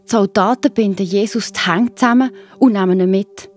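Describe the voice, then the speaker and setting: A woman with a Swiss German accent narrates a Bible story for children. Her mid-pitched voice is expressive and speaks straight to young listeners. Faint music plays behind her. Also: a little fast.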